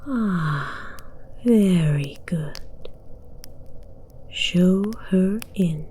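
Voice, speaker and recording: A woman playing a haughty, menacing dragon queen in an ASMR roleplay, whispering in an imperious, formal, breathy way. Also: on the low side, dark tone, slight background noise. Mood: imperious, intrigued. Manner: imperious, slow, deliberate, formal.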